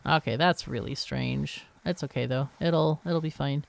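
A woman's voice: low voice, measured pace, creaky. A woman gives casual, unscripted game commentary, thinking aloud and asking herself questions as she plays. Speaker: gaming video host.

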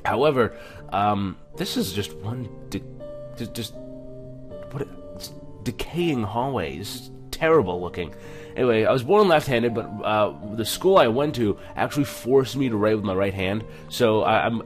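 A man's voice: lowish voice, animated, normal speed, music in the background. A man hosting a gaming video in a casual, chatty, self-deprecating way, reading text aloud with stumbles. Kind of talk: casual let's-play commentary with reading aloud.

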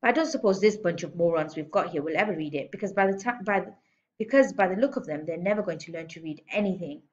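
A woman's voice: on the low side, animated, fast, muffled recording, close to an everyday voice. A woman voicing a domineering, contemptuous headmistress who barks and sneers in a children's storybook reading. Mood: scornful. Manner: barking, scolding, sneering insults. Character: domineering, bullying adult headmistress, contemptuous and loud. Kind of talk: children's storybook read-aloud.

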